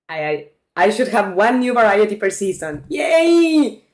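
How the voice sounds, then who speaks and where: A woman's voice: medium pitch, very animated, measured pace, darkish tone. A woman reading aloud the lines of a friendly male village shopkeeper in a farming game, with upbeat salesman patter. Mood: cheerful.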